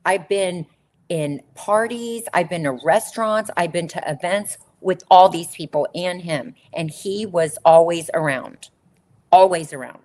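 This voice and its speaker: A woman's voice: lowish voice, measured pace, darkish tone. A middle-aged woman, a former model, telling her story in an interview with emphatic repetition. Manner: emphatic, repeats phrases for stress. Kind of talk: candid conversational storytelling.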